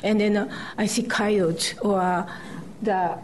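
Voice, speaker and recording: A woman from Japan, a poet speaking English with a Japanese accent and Japanese as her first language. She talks haltingly with many fillers and laughs, then reads her poems aloud at a live festival reading. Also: mid-range voice, fairly even intonation, a little hiss or hum. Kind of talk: live poetry reading with chatty introduction. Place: festival stage before an audience.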